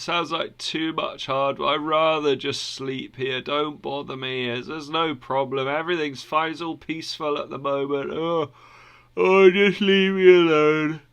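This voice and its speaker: A man voicing Sloth, a lazy yawning male figure who whines to be left asleep, with a higher, louder, drawn-out voice. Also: gravelly, smooth. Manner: yawning, whiny, drawn-out pleading.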